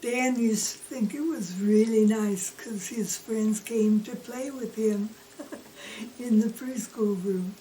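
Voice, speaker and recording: Older woman, gentle, mellow voice, slow, distant mic, faint background noise. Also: a little high.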